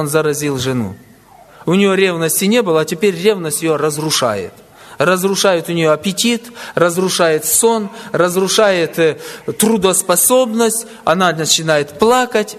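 A man's voice: high-pitched, long reverb tail. A man preaching a sermon to brothers and sisters, weaving in stories of church members he met. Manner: tells anecdotes, quotes people.

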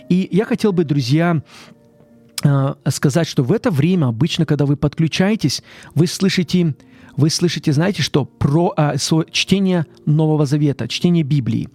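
Guy doing a church radio pledge drive, earnest, keeps saying friends, soft music under him. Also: on the high side. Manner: earnest, repeats "friends" often, halting restarts. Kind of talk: live radio fundraising appeal.

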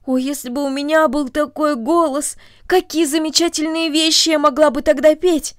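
A woman voicing an excitable, enthusiastic young girl in a storybook reading, gushing and exclaiming. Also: sits high, normal speed, dry recording, clean studio sound, pitched above the performer's own voice, close to an everyday voice. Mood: delighted, gushing.

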